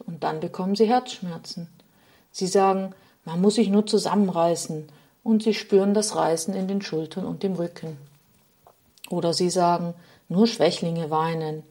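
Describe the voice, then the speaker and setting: A woman's voice: mid-range voice, dark tone, natural voice. A woman voicing Sadness, a dejected, unloved female figure, in a spoken storybook, lamenting in a slightly raised voice.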